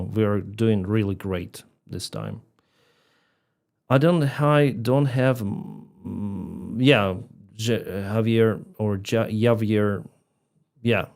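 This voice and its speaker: A man with a Ukrainian accent chats on a live stream, reading donor names aloud and laughing easily between them. His voice sits fairly low. He talks at an unhurried, drawn-out pace. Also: creaky, darkish tone. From Crimea. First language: Russian. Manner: chatty, laughs often, reads out donor names. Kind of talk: casual live stream chat reading donations.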